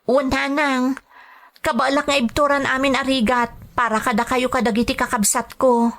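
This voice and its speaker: A woman's voice: high voice, flat delivery, normal speed, fairly bright tone. A woman with a Filipino accent playing a patient, anxious young maid working abroad in a radio drama. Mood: reassuring, earnest. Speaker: drama actor. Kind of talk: radio drama acting and letter narration.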